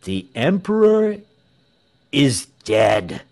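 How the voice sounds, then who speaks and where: A man reads the part of a grave storybook pastor who bears momentous news. The delivery is very measured and portentous, slow, with pitch that rises and falls dramatically. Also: very animated. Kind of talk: storybook reading.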